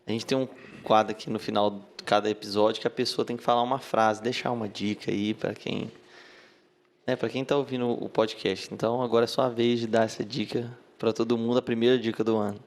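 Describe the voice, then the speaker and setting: A man hosting a podcast in a casual, brisk way, steering the chat and wrapping up the episode. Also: on the low side, flat delivery, a little hiss or hum. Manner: brisk, wraps up the show segments. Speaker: podcast host.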